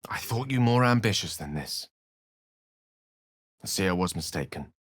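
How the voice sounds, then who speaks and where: A wry, erudite wizard with a slightly low voice that moves through wide, theatrical swings of pitch. He speaks in polished, articulate phrases with an earnest streak, as a fantasy video game companion, recorded close and dry. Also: lively intonation, normal speed, breathy edge, dry recording. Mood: disappointed. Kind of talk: scripted video game voice acting.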